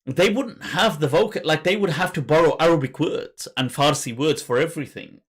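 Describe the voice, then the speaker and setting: A man hosting a podcast interview, speaking in a thoughtful, halting way as he searches for words. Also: fairly high pitch, breathy edge. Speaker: podcast host. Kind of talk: conversational interview.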